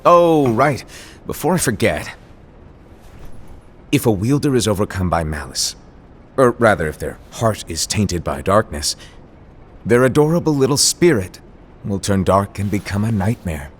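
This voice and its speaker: A breezy, teasing fantasy mentor. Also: male voice, lowish voice, sing-song, unhurried, pauses often, a little hiss or hum. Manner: breezy and teasing, then ominous warnings. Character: playful, mysterious male mentor in a fantasy world. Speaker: master of apprentices. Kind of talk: video game cutscene acting.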